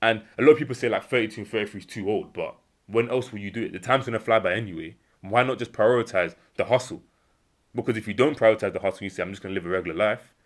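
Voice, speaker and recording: Young British man, rapid and emphatic, slightly low register; self-improvement vlogger type. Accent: British English. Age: young adult. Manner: earnest, emphatic, repeats key phrases; acts out imagined dialogue in a lower voice. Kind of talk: unscripted motivational monologue.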